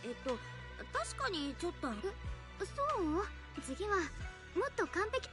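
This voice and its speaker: A woman's voice: very high-pitched, sing-song, brisk. A woman voicing a sweet, earnest young heroine in an anime-style game. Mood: earnest, reminiscing. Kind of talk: Japanese game voice acting. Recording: game audio with music over it.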